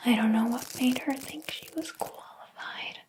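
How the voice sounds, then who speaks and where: A woman voicing a jealous, possessive hairdresser in an ASMR roleplay, whispering breathily in a slow, theatrical, wounded and haughty manner. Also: on the high side, lightly acted. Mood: possessive, disdainful. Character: jealous, possessive hairdresser, obsessed with favorite client.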